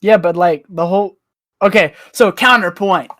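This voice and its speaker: A podcast panellist in casual group chat, with a mid-pitched voice in a dry, close recording. The delivery is insistent and argumentative, pressing each point conversationally. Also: moderate pace, a bit bright, dry recording.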